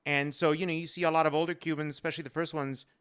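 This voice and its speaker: A man's voice: a little high, little pitch movement, very fast, a bit bright, clear, resonant tone. A man speaking as a panel guest on a live stream, telling personal stories earnestly and repeating phrases for emphasis. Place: remote live-stream video call.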